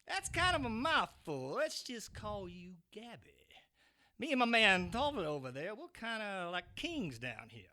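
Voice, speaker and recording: Actor as crude street lowlife, animated, forceful, slangy, aggressive, audio drama. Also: male voice, unhurried, heavily acted. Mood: leering. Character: crude, leering, bullying male street drifter.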